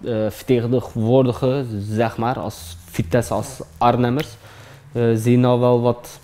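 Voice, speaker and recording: A man's voice: mid-range voice, flat delivery, unhurried, warm tone. A man in his thirties, a barber hosting an interview while cutting hair, talking laid-back and streetwise, often saying "man". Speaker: barber and host. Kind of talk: casual interview chat.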